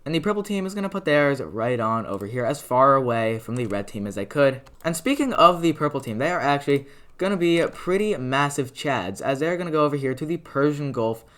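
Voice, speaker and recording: A man with a raspy, worn voice narrating a map animation in casual, rambling commentary full of fillers and restarts. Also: medium pitch, expressive, fairly quick, fairly bright tone. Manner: rambling, filler-heavy, lots of "like" and "uh". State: raspy voice from talking a lot. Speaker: mapping video creator.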